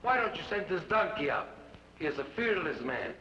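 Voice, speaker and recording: A man's voice: a little high, very animated, a little fast, pushed and bright, dull audio, slight background noise. A man playing a sneering bandit henchman in an old radio drama. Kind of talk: 1950s radio drama acting. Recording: echoey distant old radio broadcast.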